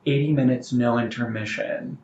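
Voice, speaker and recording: A man being interviewed as a playwright, talking in a reflective, carefully measured conversational way. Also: mid-range voice, fairly even intonation, a little mellow. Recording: roomy, slightly muffled, noticeable background noise.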